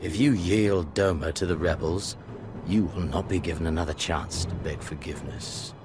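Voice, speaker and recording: Man playing deep-voiced, haughty villain prince over music. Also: moderate pace, lightly acted. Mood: cold menace. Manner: haughty, menacing, formal. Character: cold, imperious warrior prince and villain. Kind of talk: dramatic video game acting.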